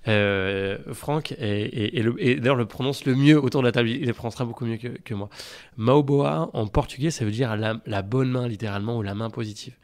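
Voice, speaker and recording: A man's voice: mid-range voice, fairly quick. A man speaking conversationally as an interview guest, earnest, often repeating words as he searches for the next one. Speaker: podcast guest, company founder.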